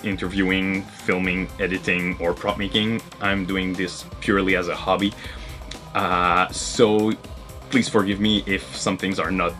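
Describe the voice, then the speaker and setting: A man's voice: lowish voice, fairly bright tone. Hesitant French Canadian man vlogging. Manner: hesitant, many "uh" fillers, self-deprecating. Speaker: host. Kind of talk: casual vlog talk.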